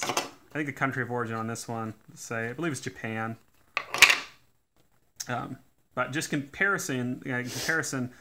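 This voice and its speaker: A man doing a casual hands-on teardown at a workbench, talking in a relaxed way with lots of "uh" fillers. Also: mid-range voice, background noise. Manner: relaxed, many "uh" fillers, technical detail. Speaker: DIY tool reviewer. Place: indoors at a workbench.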